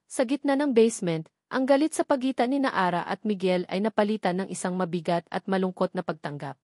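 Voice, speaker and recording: Female narrator for dramatic love stories. Solemn, suspenseful, measured delivery, mid-range voice, very dry recording. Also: mid-range voice, darkish tone, no room sound. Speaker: narrator of a romance drama story. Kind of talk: scripted dramatic story narration.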